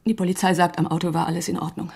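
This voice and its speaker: An actress playing a grieving, doubting woman in a radio drama, speaking haltingly with a breathy, husky voice. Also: on the low side, little pitch movement. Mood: subdued, insistent. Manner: hesitant, halting, hushed. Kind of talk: naturalistic radio drama acting.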